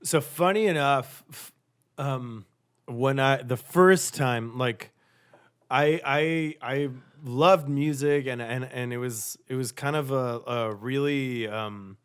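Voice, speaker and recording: A man with a rough, raspy voice talks in casual podcast chat. His speech is laid-back and rambling. He restarts his sentences often and leans on filler words. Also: medium pitch, slow. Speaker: band singer and guitarist.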